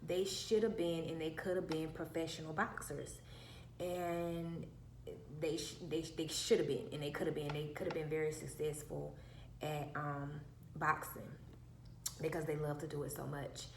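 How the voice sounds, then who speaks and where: Soft, childlike-voiced woman telling a story. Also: deep voice, flat delivery, moderate pace. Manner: emphatic, repeats herself for effect. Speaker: vlogger. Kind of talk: casual personal storytelling. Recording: roomy echo, faint background hum.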